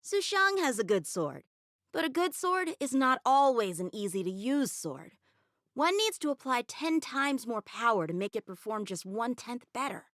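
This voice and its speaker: A woman's voice: a little high, big pitch swings, normal speed, fairly bright tone, no echo, studio quality. An eager boy swordsman. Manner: earnest, eager and boyish. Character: eager teenage boy swordsman lieutenant, cheerful. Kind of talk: game character voice lines.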